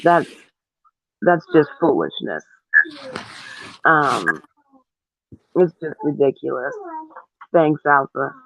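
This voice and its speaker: A woman giving reaction commentary over a phone-like connection, emphatic and incredulous, with drawn-out pauses. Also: low voice, very animated. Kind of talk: spoken reaction commentary in a group chat. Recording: dull, dry, phone-like audio.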